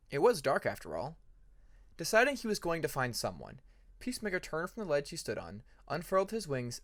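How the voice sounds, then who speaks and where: A man narrating a fantasy story like an audiobook, in an expressive, dramatic manner. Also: on the high side. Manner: expressive, acts out dramatic passages. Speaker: fan fiction narrator.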